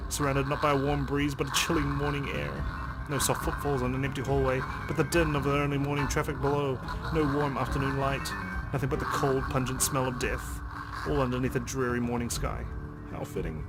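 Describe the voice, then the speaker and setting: Man, medium pitch, dramatic game read-aloud, profane reactions, background music. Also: fairly even intonation, a little fast, low-quality recording. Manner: swears in reactions. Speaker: let's play host.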